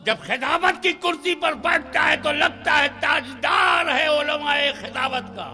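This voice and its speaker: High voice, very sharp, man, fiery sermon, chanting refrains. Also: unhurried, a little hiss or hum. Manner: impassioned, shouting rhythmic refrains. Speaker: Islamic preacher. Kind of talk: fiery religious speech at a gathering.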